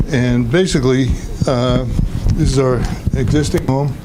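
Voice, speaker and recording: A man with a naturally loud voice speaks to a town commission about his home project. He talks plainly, stopping often to gather his words. The sound is a noisy meeting-room recording. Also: mid-range voice. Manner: plainspoken, halting with fillers. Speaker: homeowner applicant. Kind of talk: presenting a project at a public meeting.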